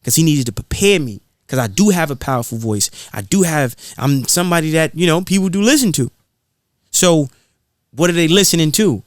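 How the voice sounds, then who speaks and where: A man's voice: a little high, expressive, a little fast, no echo, studio quality. A man speaking in an impassioned, preachy podcast style, repeating phrases for emphasis. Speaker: guest rapper being interviewed.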